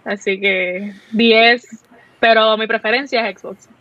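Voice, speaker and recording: A young woman with a Puerto Rican accent, a streamer guest chatting in a relaxed, easygoing way. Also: on the high side, takes it slowly, dry recording. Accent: Puerto Rican Spanish. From Puerto Rico. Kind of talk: casual chat. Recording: dull lo-fi audio.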